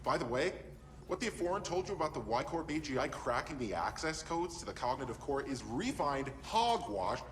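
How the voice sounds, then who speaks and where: An actor playing a cocky, sarcastic hacker in a cyberpunk video game, with a brash, slangy way of talking. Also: male voice, medium pitch, very animated, a little fast, close to an everyday voice. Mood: cocky, teasing. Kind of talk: video game character acting. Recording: noisy ambient background.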